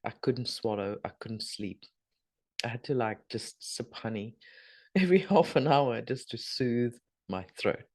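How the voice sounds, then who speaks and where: A middle-aged woman, hoarse and husky from a sore throat, chatting casually as host of a spiritual video update with rambling, trailing asides. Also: rumbling low, unhurried, darkish tone. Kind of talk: casual spoken energy update.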